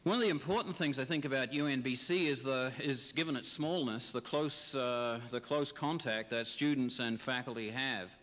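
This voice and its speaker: A middle-aged male history professor answering interview questions in a chatty way, with many pauses and fillers. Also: mid-range voice, fairly bright tone. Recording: long reverb.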